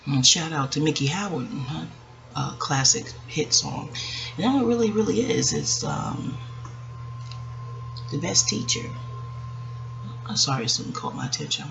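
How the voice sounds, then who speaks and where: A woman in her forties talking to her viewers in a hushed, rambling, reflective way, hesitating and digressing as she goes. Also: deep voice, very animated, measured pace, gravelly, long pauses. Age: 40s. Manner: hushed, keeping voice down so as not to wake others. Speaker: video channel host. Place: at home, quiet dark room. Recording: dull lo-fi audio with faint background noise.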